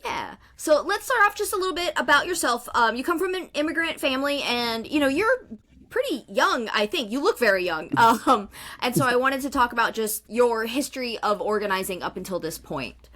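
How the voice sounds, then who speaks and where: A woman's voice: on the high side, very animated, a little fast, bright, forceful tone. A woman hosting a podcast interview, chatty and casual with plenty of fillers. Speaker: podcast host.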